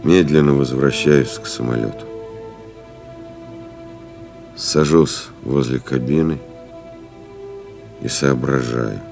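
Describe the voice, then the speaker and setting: An actor playing a weary, stoic pilot stranded in the desert, narrating gravely and deliberately in an audio play, with music behind him. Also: male voice, rumbling low, fairly even intonation, a little mellow, full character voice. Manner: grave, deliberate, brooding, with pauses. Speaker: actor narrating in first person.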